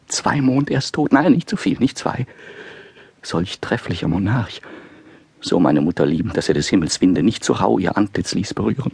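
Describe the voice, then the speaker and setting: Husky, anguished young prince. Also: male voice, on the low side, no room sound, full character voice. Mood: despairing. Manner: impassioned, volatile soliloquy delivery. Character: anguished, disgusted young prince thinking aloud. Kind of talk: classical audio drama acting.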